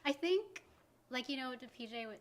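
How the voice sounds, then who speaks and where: A woman, a guest in a casual interview, speaking in a thoughtful, measured way. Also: sits high, lively intonation, slight background noise. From Canada. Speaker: guest, community arts organizer.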